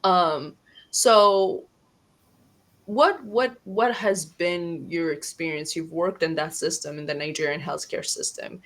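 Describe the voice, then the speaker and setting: A woman's voice: fairly low pitch, slow, a bit bright, a little hiss or hum. A woman hosting an interview podcast, asking questions in a friendly, chatty way. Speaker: podcast host.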